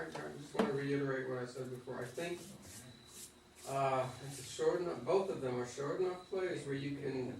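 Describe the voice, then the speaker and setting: A man's voice: medium pitch, creaky. A man giving feedback in a group discussion after a play reading, repeating his point to press it. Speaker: audience member giving feedback. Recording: echoey room, from far off, noisy.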